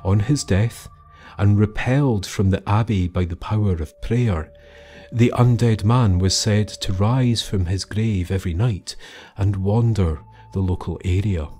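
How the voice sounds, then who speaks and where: A man with a low voice narrates folklore as a storyteller. He takes his time, measured and theatrical, leaving dramatic pauses between phrases. Faint music runs beneath his words. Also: mellow. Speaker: storyteller and host. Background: music under the voice.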